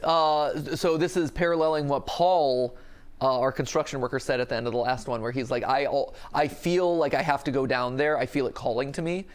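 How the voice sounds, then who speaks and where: A man's voice: mid-range voice, fairly even intonation, brisk, clear, resonant tone. A young adult man giving casual, joking reaction commentary as a show host.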